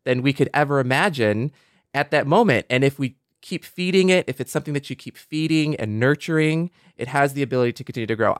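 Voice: mid-range voice, clean tone, no echo, studio quality. A panelist talking casually and earnestly in a discussion, often repeating points for emphasis. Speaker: podcast panelist. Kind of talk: casual panel discussion about science fiction.

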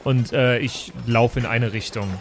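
A man's voice: medium pitch, steady tone, unhurried, faint music behind, natural voice. A man voicing a belligerent, paranoid male adventurer who blusters and stammers with indignation. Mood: grumpy.

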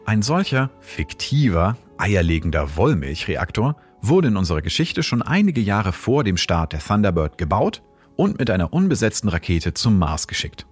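A man hosting and narrating scripted science explanations in a calm, measured way. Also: fairly low pitch, slightly rough, soft background music.

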